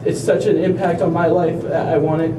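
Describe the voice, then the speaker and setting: A young man giving an earnest, heartfelt speech at a community walk, slightly halting as he speaks. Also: a little high, flat delivery. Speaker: family member speaker at an awareness walk. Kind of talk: prepared speech to a crowd. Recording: echoey, distant, noisy event recording.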